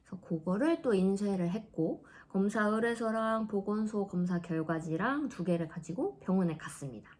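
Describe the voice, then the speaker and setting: A woman in her thirties chatting casually in a vlog, laughing often and dropping into soft asides. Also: mid-range voice, animated, moderate pace, hardly any pauses. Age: 30s. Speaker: vlogger.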